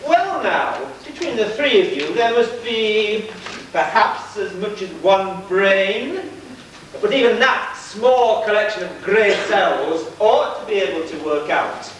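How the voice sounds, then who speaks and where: A scheming, bossy villain boss, acted by a man in an amateur pantomime. The delivery is grandiloquent and theatrical, swooping widely in pitch. It is caught from far off in a very echoey hall. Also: lively intonation, takes it slowly, a bit bright, a little hiss or hum, heavily acted. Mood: condescending. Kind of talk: amateur pantomime stage acting. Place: church pantomime stage, far camera.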